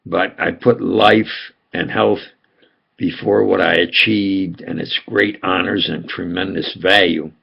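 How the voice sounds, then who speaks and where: An older man from upstate New York with a New York accent, telling his life story in a slow, halting monologue with sudden emphatic stresses. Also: deep voice, steady tone, vocal fry, slightly rough, dull audio. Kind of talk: rambling personal monologue.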